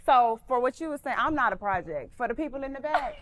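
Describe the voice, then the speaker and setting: A woman with a fairly high voice speaking as a guest on a talk panel. She talks in an emphatic, colloquial way, as if testifying to the room. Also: expressive, fast, a bit bright. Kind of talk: casual chat.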